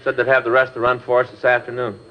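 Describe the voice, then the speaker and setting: A man's voice: mid-range voice, monotone, very fast, natural voice. Guy playing american detective partner, casual short answers, old radio drama, muffled and noisy recording. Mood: businesslike. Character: laconic male police detective, the partner. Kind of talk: 1950s radio crime drama acting.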